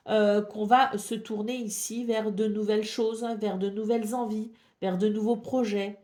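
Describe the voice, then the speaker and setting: A woman with a French accent reads a weekly horoscope from her tarot cards. She has a head cold and talks in a hesitant way, with frequent fillers. Her voice sits at a middle pitch. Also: darkish tone. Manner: hesitant, many fillers and repeated maybe. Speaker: tarot reader. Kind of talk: weekly horoscope card reading.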